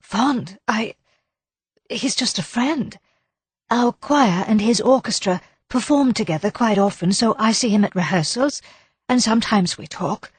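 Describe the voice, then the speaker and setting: A woman voicing a gentle, anxious Englishwoman in an audiobook, hesitant and apologetic. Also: fairly high pitch, lively intonation, unhurried, slightly rough, no room sound, pitched above the performer's own voice, exaggerated voice. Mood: flustered. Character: shy, anxious, gentle Englishwoman, easily flustered.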